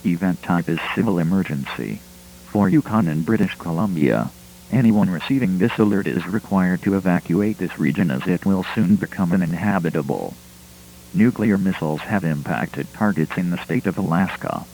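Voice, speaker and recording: A man's voice: deep voice, animated, normal speed, a little mellow, a little hiss or hum, poor audio. A man reading a scripted civil emergency alert in a formal, impersonal manner.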